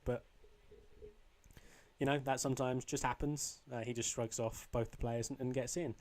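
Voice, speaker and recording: A young man with a slightly croaky voice, casually commentating his own football video game match, rambling with ums and restarts. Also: mid-range voice, steady tone, normal speed, a little mellow. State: a bit croaky. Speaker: gaming channel host.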